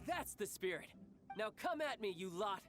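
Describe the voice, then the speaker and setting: A man's voice: high voice, very animated, moderate pace, fairly bright tone. An actor playing a cocky, playful young male warrior commander who speaks in a breezy, confident, teasing way, with game music behind him. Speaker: military commander. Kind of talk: video game voice acting.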